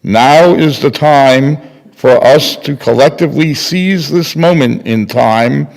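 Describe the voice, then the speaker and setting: A man's voice: medium pitch, slow pace, vocal fry. An older man playing a solemn prisoner representative, formally reading a declaration in readers theater. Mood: solemn, deliberate. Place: large temple hall, at a lectern. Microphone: microphone on a stand at lectern. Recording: reverberant hall recording.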